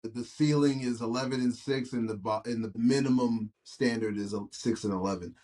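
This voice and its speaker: An excitable man from Massachusetts hosts a casual sports podcast. His voice sits in the middle range, and his talk is slangy and quick to jump in. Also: medium pitch. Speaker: podcast host.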